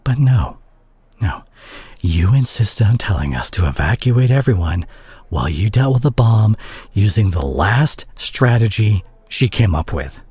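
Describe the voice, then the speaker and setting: A man playing a concerned, exasperated superhero scolding a reckless teammate in a dramatic audio roleplay, husky and breathy, with halting, emphatic pauses. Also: fairly low pitch, big pitch swings, a little mellow, dry recording, heavily acted. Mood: stern, exasperated.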